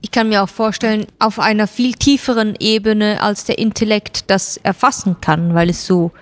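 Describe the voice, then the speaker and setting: A woman's voice: mid-range voice, fairly even intonation, normal speed. Thoughtful woman interviewer. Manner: thoughtful, musing. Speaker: interviewer, host. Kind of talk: reflective interview talk.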